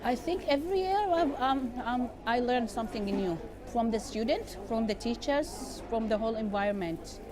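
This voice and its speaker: A woman's voice: fairly high pitch, lively intonation, normal speed, few pauses, a crowd in the background. Earnest woman teacher, non-native English speaker. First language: not English. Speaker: high school teacher. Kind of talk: reflective interview testimony. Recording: clear background noise.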